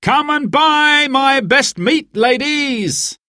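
Brief: a man voicing a confident outlaw hero, lifted and lively. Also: a little high, a little slow, no echo, voice pushed up, exaggerated voice. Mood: bold.

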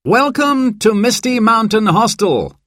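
Man playing an upbeat, high-pitched mountain guide. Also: sing-song, measured pace, fairly bright tone, no echo, studio quality, exaggerated voice. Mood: upbeat, instructive. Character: upbeat male mountain guide briefing hotel guests.

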